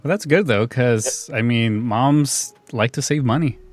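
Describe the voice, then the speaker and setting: A man's voice: mid-range voice, a little slow, no echo. A man hosting a casual film discussion, bluntly and jokingly complaining. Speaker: podcast host.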